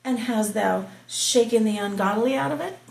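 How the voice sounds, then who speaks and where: A woman reading scripture aloud slowly and explaining it, stopping for casual asides and soft whispered remarks. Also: on the high side, gravelly, reverberant, low-quality recording. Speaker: Bible reading host. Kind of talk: slow scripture reading with commentary.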